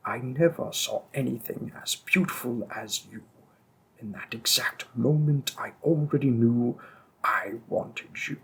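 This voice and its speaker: A man voicing a possessive, seductive male demon king in an audio roleplay, speaking softly and often in a whisper, slow and teasing. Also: medium pitch, creaky, slight background noise, heavily acted, poor audio.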